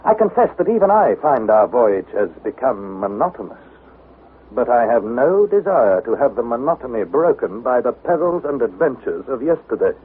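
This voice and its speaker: Man playing an animated, earnest explorer narrator. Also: mid-range voice, natural voice. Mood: subdued journal. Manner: measured, dramatic storytelling. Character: earnest young male explorer recounting his expedition. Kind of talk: scripted vintage radio drama narration. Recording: tinny, hissy vintage radio broadcast.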